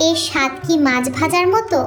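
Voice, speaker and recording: A woman as a very high-voiced curious little girl. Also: expressive, brisk, music in the background. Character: little village girl Piu, gentle, curious, self-denying. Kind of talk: cartoon moral story dialogue.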